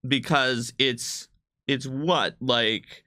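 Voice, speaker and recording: A man's voice: mid-range voice, fairly even intonation, drawn-out words, pushed and bright, dry recording, clean studio sound. A man, a game designer, talking casually as he co-hosts a livestream about a product.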